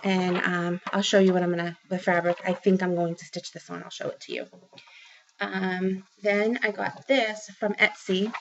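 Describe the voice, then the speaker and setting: Woman, forties, Boston accent, gravelly, rambling chatty vlogger, home webcam, muffled audio. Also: on the low side, level tone, normal speed, slight background noise. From Boston area, Massachusetts. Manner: chatty, rambling, many ums and restarts. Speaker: craft vlogger. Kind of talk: casual chat to camera. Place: small room at home, indoors. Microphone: webcam close up. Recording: dull lo-fi webcam sound.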